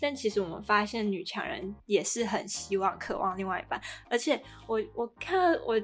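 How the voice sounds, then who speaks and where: A Taiwanese-accented woman talks as a podcast co-host with music behind her. She has a middle-pitched voice and a casual, conversational way of discussing things. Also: expressive, normal speed.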